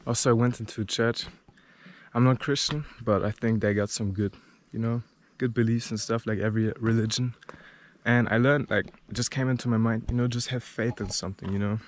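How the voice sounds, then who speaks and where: A man's voice: mid-range voice, steady tone, fairly quick. A young man in his twenties with a German accent, talking casually and a bit out of breath to a handheld camera while climbing a mountain trail, rambling with lots of fillers and swearing. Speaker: travel vlogger. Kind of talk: casual vlog talk while hiking. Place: outdoors on a rocky mountain trail. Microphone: handheld camera at arm's length. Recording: outdoor camera audio with clear background noise.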